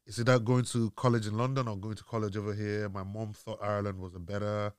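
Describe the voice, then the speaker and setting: A man's voice: lowish voice, flat delivery, fast talker, clear, resonant tone, no echo, clean studio sound. A man from Nigeria, a podcast guest, speaking in a laid-back way, filling with "you know". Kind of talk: casual interview talk.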